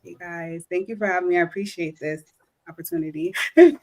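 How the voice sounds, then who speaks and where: A woman's voice: on the low side, very animated, brisk, vocal fry, a little mellow. A woman in her thirties from New York, a guest artist talking casually and modestly, laughing often. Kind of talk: casual interview.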